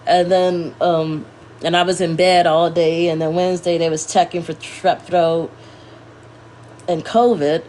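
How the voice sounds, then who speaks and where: A woman's voice: on the low side, little pitch movement, a little slow, darkish tone. A congested, scratchy-throated woman hosting a casual livestream, rambling and correcting herself as she talks to viewers. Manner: meandering, self-correcting, talking to viewers. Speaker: livestream host.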